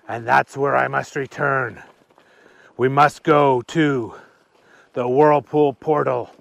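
A man voicing a big, gentle, forgetful dragon in a children's story, slow and drawn-out with booming exclamations. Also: steady tone, gravelly, no echo. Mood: solemn.

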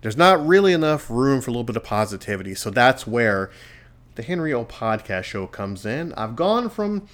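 A man's voice: mid-range voice, sing-song, normal speed, fairly bright tone. A man hosting his own solo podcast from a studio, talking in an earnest, encouraging way with a radio-DJ delivery. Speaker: podcast host. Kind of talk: casual solo podcast monologue. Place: home studio.

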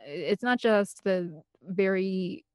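A woman's voice: medium pitch, slow, darkish tone, no echo, studio quality. A woman giving an interview as a guest, telling personal stories in a candid, rambling, earnest and conversational way. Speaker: interview guest, film composer and director.

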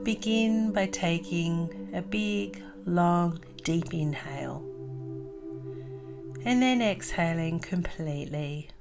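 A woman leading a guided meditation as host, calm and soothing, in deliberate phrases with long pauses. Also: on the low side, creaky, over music.

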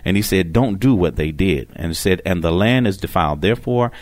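A man with a deep voice teaches scripture as a broadcast preacher. He speaks deliberately and haltingly, often doubling back on his phrases. The sound is dry, with little room around him. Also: lively intonation, darkish tone, no room sound. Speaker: preacher hosting a religious broadcast. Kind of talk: Bible teaching, unscripted explanation of scripture.

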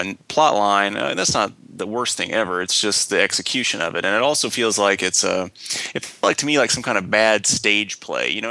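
A man's voice: on the low side, pushed and bright, clean tone, no room sound, rough recording. A man co-hosting a podcast, speaking in a casual, conversational way. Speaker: podcast co-host. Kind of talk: casual discussion and announcements.

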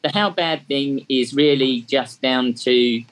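A man's voice: medium pitch, slow pace, a bit bright. Chatty English man in his fifties. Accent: English, with some Australian tinge. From England. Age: 50s. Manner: chatty and self-deprecating, frequent ums. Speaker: guest, charity track day organiser. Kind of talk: casual interview talk.

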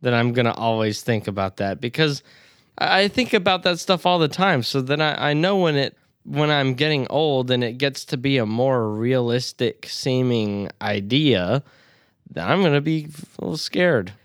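A man's voice: mid-range voice, lively intonation, normal speed, dry recording, clean studio sound. A man in his early twenties co-hosting a podcast in casual conversation. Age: early 20s. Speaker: podcast co-host. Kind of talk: casual chat.

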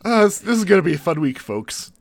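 A man's voice: sits high, big pitch swings, fast talker, creaky, dry recording, clean studio sound. A man co-hosting a podcast in casual chat, congested from the flu and talking in rambling, self-interrupting, jokey bursts. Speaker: podcast co-host.

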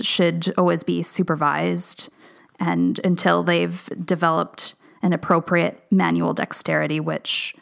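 A woman in her late twenties speaks as a dental hygienist guest, with a gravelly, fried edge and a lowish pitch. She lays out guidelines in a measured, informative way. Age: 20s. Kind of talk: explaining guidelines.

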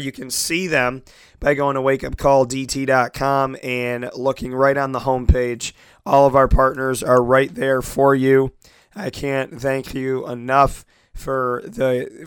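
A man's voice: mid-range voice, clear, resonant tone, no echo, studio quality. A man hosting his own sports talk show while losing his voice, speaking earnestly and gratefully with many restarts. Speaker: sports talk radio host. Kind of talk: solo internet radio show monologue.

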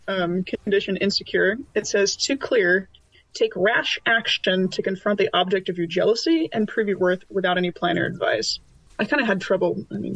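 A woman's voice: mid-range voice, a bit bright, no room sound. A woman chatting casually as a tabletop roleplaying player, hesitant and thinking aloud, on a dull recording. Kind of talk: casual in-game description and table chat.

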